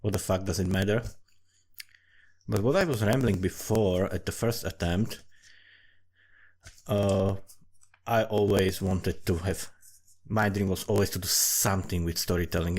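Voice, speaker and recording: A man's voice: low-pitched, a little mellow. A man from Slovakia with a Slovak accent, giving casual, rambling commentary in a profane, self-mocking and digressive way. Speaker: storyteller and amateur writer. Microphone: desktop USB condenser microphone.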